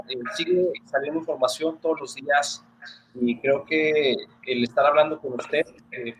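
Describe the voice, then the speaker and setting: A man's voice: mid-range voice, slight background noise, poor audio. A man with a northern Mexican border accent interviewing a doctor politely and formally over a video call. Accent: northern Mexican border Spanish. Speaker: interviewer and news presenter.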